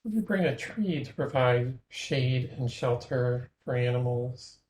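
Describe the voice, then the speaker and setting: A man leading a guided imagery meditation in a gentle, measured way, leaving long pauses between phrases. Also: medium pitch, animated, a little hiss or hum, rough recording.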